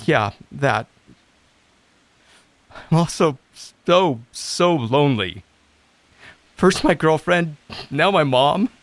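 A man acting the part of a lonely, self-doubting recovery coach in an audio drama, halting and introspective. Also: on the high side, expressive, dry recording. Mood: sad, withdrawn.

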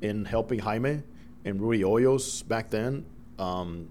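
A middle-aged man from the Dominican Republic whose first language is Spanish, a broadcaster telling lively stories as a guest in an interview. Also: fairly low pitch, slow, slight background noise. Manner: animated storyteller, acts out quoted voices. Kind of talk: storytelling interview.

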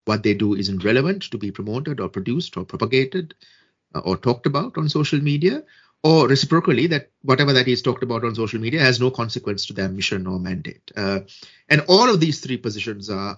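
Podcast guest, man from Sri Lanka, measured and deliberate, slightly raspy tone, somewhat lo-fi sound. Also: lowish voice. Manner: measured, deliberate, with frequent fillers and restarts. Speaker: guest expert on social media and peace building. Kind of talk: podcast interview answers.

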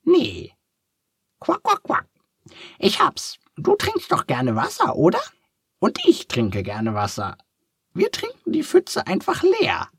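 Guy doing a super squeaky bossy duck lady, quacky and fussy, storybook voice. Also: huge pitch swings, takes it slowly, vocal fry, a bit bright, slightly rough, no echo, studio quality, exaggerated voice. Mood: excited.

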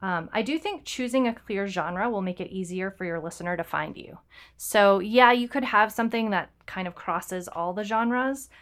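A woman co-hosting a podcast, chatting casually with frequent ums and restarts. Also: medium pitch. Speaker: podcast co-host. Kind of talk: casual chat.

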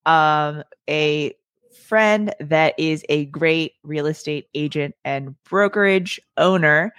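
A woman's voice: sits low, takes it slowly, fairly bright tone, smooth, dry recording. A woman hosting a casual real estate podcast, friendly and upbeat with many fillers.

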